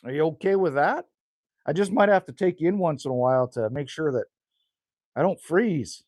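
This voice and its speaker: A man's voice: a little high, lively intonation, moderate pace, a little mellow, no echo, studio quality. A man, chatting casually and rambling with viewers as a livestream host outdoors by a rural cabin. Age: 50s. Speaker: livestream host reading chat.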